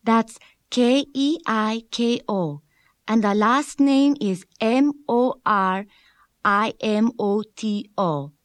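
A woman's voice: fairly high pitch, slow pace, no room sound. A woman voicing a young student who carefully spells her name in a scripted textbook dialogue.

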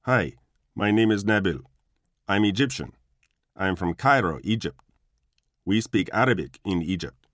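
A man's voice: on the low side, animated, a little slow, long pauses, a bit bright, no room sound, clean studio sound. A man voicing someone introducing himself in a scripted textbook exercise. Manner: plain self-introduction.

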